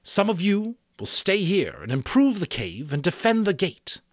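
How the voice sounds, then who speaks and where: Give this storybook role a commanding young chief acted out by a man. The boy's voice sits well above an adult man's usual pitch, swinging widely as he issues solemn, emphatic orders to his tribe. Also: big pitch swings, normal speed, dry recording, clean studio sound.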